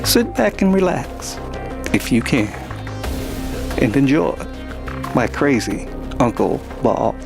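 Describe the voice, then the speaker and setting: A man's voice: mid-range voice, very animated, takes it slowly, gravelly, pauses often, music in the background. A man hosting and narrating a scripted science fiction story. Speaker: host and narrator.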